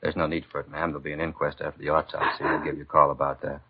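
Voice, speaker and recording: Very deep, rapid American policeman. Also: little pitch movement, creaky, husky, full character voice. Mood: sympathetic. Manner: matter-of-fact, polite, official. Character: courteous, brisk, sympathetic police officer, detective's partner. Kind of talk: 1950s radio police drama acting. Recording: noisy, narrow-band 1950s radio recording.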